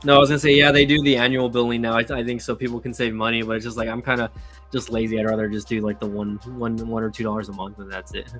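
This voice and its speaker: A man in his late thirties chatting casually, relaxed and full of fillers. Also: medium pitch, fast talker, soft background music. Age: 30s. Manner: relaxed, lots of fillers, laughs often. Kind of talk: casual podcast chat.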